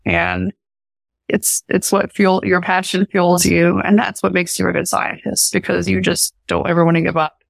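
A young woman with an Appalachian accent speaks in a fairly low, even-toned voice with some fry. The recording is dry and close, and she explains things earnestly and informally, as a guest in an interview. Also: normal speed, no room sound. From Appalachia, United States. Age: young adult. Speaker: professor and research scientist, podcast guest. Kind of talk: conversational interview answers.

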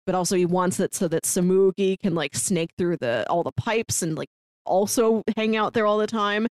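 A woman co-hosting a podcast, chatting casually and retelling stories in an animated way. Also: medium pitch, normal speed, no room sound, clean studio sound. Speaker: podcast co-host. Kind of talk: casual book discussion.

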